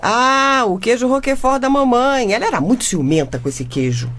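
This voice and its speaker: Uma atriz interpretando uma mãe brasileira de classe média, desorganizada e argumentadora, em radionovela cômica. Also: female voice, on the high side, moderate pace. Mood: puzzled. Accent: Brazilian. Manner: expressive, reasoning and chiding. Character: middle-class mother, newspaper reporter, untidy and argumentative. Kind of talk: comedic radio drama acting.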